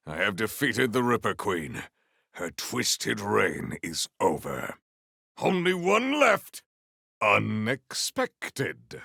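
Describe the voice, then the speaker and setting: Deep, grandiose noble warrior. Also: male voice, vocal fry, fairly bright tone, no echo, clean studio sound, exaggerated voice. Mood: grave, triumphant. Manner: formal, archaic, grandiose phrasing. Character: noble, solemn warrior hero, valiant and boastful. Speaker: video game voice actor. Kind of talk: theatrical video game character lines.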